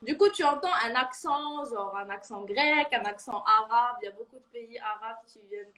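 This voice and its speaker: Young female student, Moroccan accent, high voice, informal and giggly, giving a relaxed testimonial. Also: moderate pace. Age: early 20s. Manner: informal, giggly, peppers talk with slang and fillers. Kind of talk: casual interview chat.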